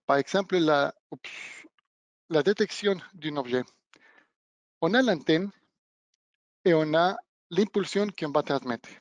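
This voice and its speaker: A man who is not a native speaker of the language, giving a webinar demonstration of training equipment and pausing often to search for words. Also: fairly high pitch, no echo, lo-fi sound. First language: not French. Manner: halting, searching for words, non-native phrasing. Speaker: product trainer.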